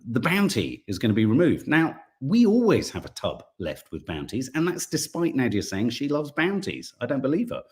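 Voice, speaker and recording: A man hosting a casual live stream, chatting and reading viewer comments aloud, sometimes tripping over his words. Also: mid-range voice. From English Midlands.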